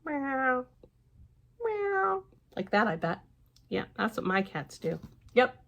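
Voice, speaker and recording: A woman reading a storybook aloud to young children, animated and sing-song, with chatty asides and repeated little affirmations. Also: high voice, takes it slowly, gravelly. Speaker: children's librarian and storytime reader.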